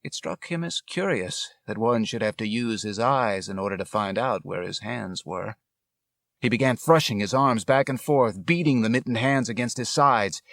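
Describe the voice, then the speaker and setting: A man reading a literary story as an audiobook narrator, with measured, dramatic, deliberate phrasing. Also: fairly low pitch, vocal fry, fairly bright tone, dry recording, studio quality.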